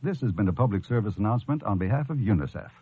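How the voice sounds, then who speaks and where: A man's voice: fairly low pitch, animated, very fast. A man reading a scripted charity public service announcement as a radio announcer. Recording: thin phone-like vintage radio, dry.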